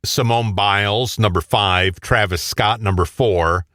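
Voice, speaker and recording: A man's voice: fairly low pitch, a little slow, sharp tone, no room sound, studio quality. A man co-hosting a radio show, bantering casually as he reads out countdown lists.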